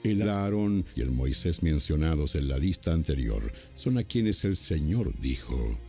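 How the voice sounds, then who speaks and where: A man gives a solemn scripted Bible narration. His voice is low and gravelly with a dark, mellow tone, and he reads deliberately over faint music. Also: very animated.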